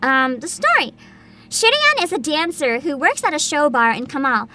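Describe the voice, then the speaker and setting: A woman chatting casually as a livestream host, excitable and giggly, with dramatic emphasis. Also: high-pitched, normal speed.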